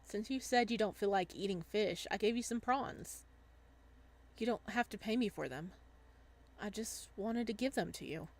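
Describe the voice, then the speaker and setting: A woman voicing a gentle, reserved young fisherman in a visual novel, speaking quietly and kindly. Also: medium pitch, moderate pace, lightly acted. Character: gentle, soft-spoken young fisherman, reserved love interest.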